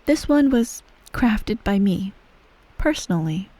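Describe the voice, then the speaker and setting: A woman playing a menacing, coolly doting empress mother in soft, breathy ASMR roleplay, measured and formal, often near a whisper. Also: medium pitch, animated, no room sound. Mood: quietly menacing. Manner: measured, formal, veiled threats, breathy sighs.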